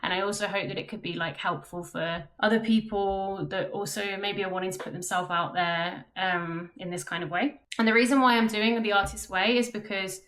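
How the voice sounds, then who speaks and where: A woman's voice: medium pitch, fairly even intonation, normal speed, hardly any pauses, fairly bright tone. Young woman, chatty, self-conscious, casual vlog from her bedroom. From London, England. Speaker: vlogger and web developer. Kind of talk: casual talk to camera. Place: bedroom at home.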